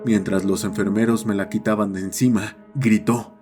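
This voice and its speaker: A man playing a schoolboy who narrates his own horror story in a measured, serious first-person style. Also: on the low side, fairly even intonation, over music, close to an everyday voice. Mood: sombre.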